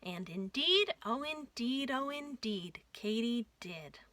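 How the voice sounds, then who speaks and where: A woman reading a rhyming picture book aloud for young children in an expressive storytime style. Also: a little high, slow pace, noisy background. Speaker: children's storytime reader at a library.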